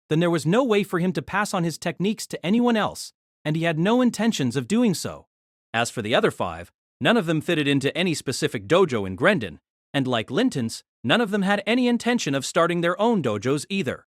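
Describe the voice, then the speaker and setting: A man narrating a fantasy novel for an audio drama, with a fairly high voice that rises and falls a lot. His reading is steady and measured, and the recording is close and dry with no room sound. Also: dry recording.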